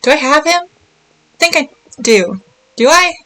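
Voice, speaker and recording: A woman's voice: sits high, animated, unhurried, sharp tone, rough recording. A woman streaming a video game, chatting casually in short, playful reactions. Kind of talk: casual gameplay reaction chat.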